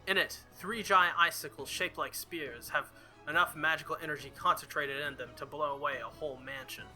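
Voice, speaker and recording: A man's voice: a little high, fairly even intonation, brisk, sharp tone, soft background music. A man doing a visual novel playthrough, reading the story aloud dramatically and dropping in casual asides. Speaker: let's player.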